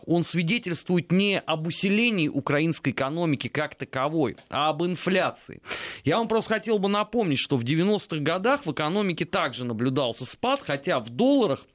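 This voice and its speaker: A man hosting a talk show, reading out a viewer's question and answering in a measured way with frequent hesitations. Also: fairly high pitch, sing-song. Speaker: host.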